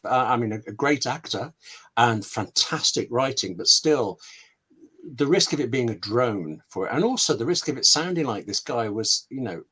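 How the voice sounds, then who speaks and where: A man with a British accent talks as a scriptwriter being interviewed. He is conversational and discursive, leaning on key words and pausing for effect as he hands out practical advice. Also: medium pitch, a bit bright, slightly rough. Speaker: scriptwriter, webinar guest. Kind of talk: conversational interview answers, giving writing advice.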